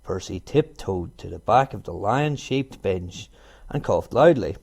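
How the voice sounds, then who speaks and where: A man reading a children's storybook aloud in an expressive narrating style. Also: on the low side, unhurried, vocal fry, slightly rough. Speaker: storybook reader.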